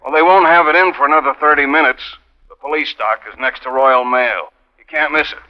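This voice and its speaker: A man's voice: fairly high pitch, brisk, lightly acted. A man playing a helpful, easygoing local boat guide in a 1950s radio drama, casual and folksy. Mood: matter-of-fact. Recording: muffled vintage radio broadcast.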